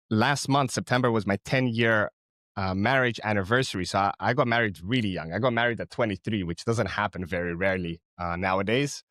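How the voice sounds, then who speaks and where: A man's voice: fairly low pitch, a bit bright, no room sound, clean studio sound. A man in his thirties from Cyprus, a podcast guest talking casually with frequent restarts and fillers. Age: 30s. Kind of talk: casual interview chat.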